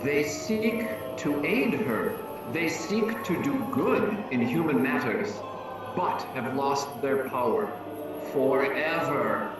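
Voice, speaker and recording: A man playing a tormented ghost in a dramatic reading, giving ominous warnings in a slow, theatrical wail. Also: medium pitch, animated. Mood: anguished warning. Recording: echoing, distant voice with music.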